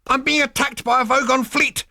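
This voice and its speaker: A man's voice: a little high, big pitch swings, brisk, a bit bright, dry recording, studio quality, voice pushed up. A man voicing a nervous young male space adventurer who stutters and hurries through his words. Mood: desperate.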